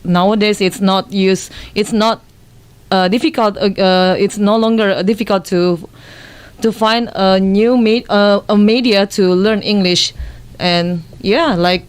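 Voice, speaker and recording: A woman's voice: medium pitch, fairly even intonation, a little slow, smooth. A woman in her thirties with an Indonesian accent, a mother speaking as a radio guest, hesitant with many fillers. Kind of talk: radio talk show guest.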